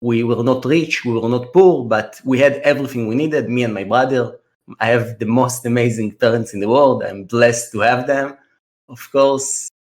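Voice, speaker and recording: A man's voice: mid-range voice, normal speed, darkish tone. A man in his thirties from Russia with a Russian accent, telling his life story earnestly as a guest on a casual trading podcast. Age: 30s. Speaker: trader and mentor, podcast guest.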